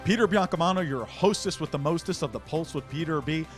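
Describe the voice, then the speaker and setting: A man's voice: fairly high pitch, expressive, fast talker, soft background music. A man hosting a local talk show, chatting playfully and jokily with a guest outdoors on a summer day. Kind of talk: lively casual chat on a food segment.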